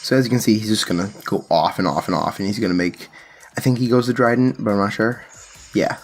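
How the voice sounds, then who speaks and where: A man's voice: on the low side, fast, a little hiss or hum. A man giving casual, unscripted commentary on card game play and decks, explaining things and correcting himself as he goes. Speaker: card game channel host.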